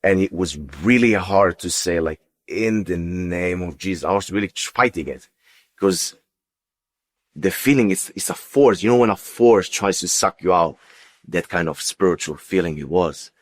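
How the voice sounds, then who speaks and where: A man who speaks as a tells his personal story as an interview guest, in a halting, unscripted way with repeated words and phrases. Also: low-pitched. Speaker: interview guest giving testimony.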